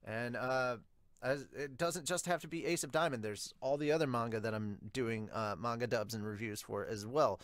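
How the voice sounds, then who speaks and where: A man's voice: medium pitch, moderate pace, a bit bright, no room sound. Casual man reviewing manga, dry sound. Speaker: host of manga review channel. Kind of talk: casual reaction and commentary to viewers. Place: small home room, indoors.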